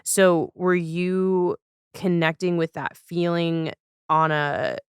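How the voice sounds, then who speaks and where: A man's voice: on the high side, fairly even intonation, takes it slowly, clean tone, no echo. A man hosting a conversational interview, attentively asking long, thoughtful questions. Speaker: podcast host.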